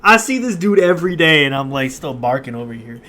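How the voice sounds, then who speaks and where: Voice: medium pitch, very animated, quick pace, bright, forceful tone, slight background noise. A streamer giving casual, slangy, playful gameplay reactions with muttered asides. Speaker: gaming streamer. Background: game music.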